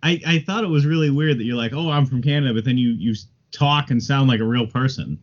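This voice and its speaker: A man's voice: mid-range voice, fast, highs cut off. A man co-hosting a podcast who teases the others in a playful, casual way. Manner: teasing, playful. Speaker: podcast co-host.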